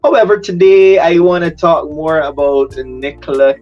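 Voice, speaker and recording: A man's voice: fairly high pitch, level tone, moderate pace, dull audio, soft background music. A man hosting an interview, asking probing questions and steering his guest. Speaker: host.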